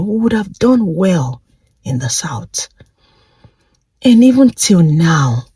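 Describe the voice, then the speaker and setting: A woman's voice: sits low, dark tone, breathy edge, dry recording. A woman reflecting aloud in a personal vlog, asking one rhetorical question after another with feeling. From Nigeria. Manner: impassioned, full of rhetorical questions. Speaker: vlogger.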